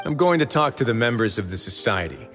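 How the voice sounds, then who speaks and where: A man voicing a composed, dry-humored warden with a calm, wry way of talking, in a video game. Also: medium pitch, quick pace. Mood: calm stern. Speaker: game character. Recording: game audio with long reverb and faint music.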